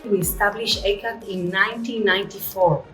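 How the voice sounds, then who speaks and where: A woman speaks in a measured, slightly way as she presents her center. Her voice sits mid-range and rings in an echoey room. Light music plays underneath. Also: mid-range voice, fairly even intonation. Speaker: director and founder of a training center. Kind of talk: guided-tour presentation.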